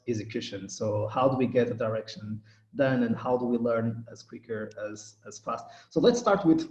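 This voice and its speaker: A man's voice: medium pitch, warm tone. A Portuguese man, a native Portuguese speaker, hosts a business interview podcast, opening with a scripted welcome and trailing off at the ends of phrases. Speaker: podcast host. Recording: dull roomy sound.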